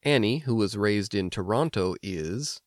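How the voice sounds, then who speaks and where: An American man hosting an instructional language podcast, explaining things clearly like a teacher. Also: on the low side, lively intonation, unhurried, no echo, studio quality. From United States. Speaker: language lesson host and teacher. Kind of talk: instructional podcast narration with personal anecdotes.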